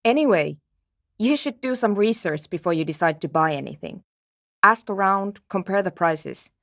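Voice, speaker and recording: Woman acting as anxious impatient programmer, terse and precise, lively pitch swings, dry studio sound, video game dialogue. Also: normal speed, no echo, natural voice. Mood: curt, dry. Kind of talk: scripted game character dialogue.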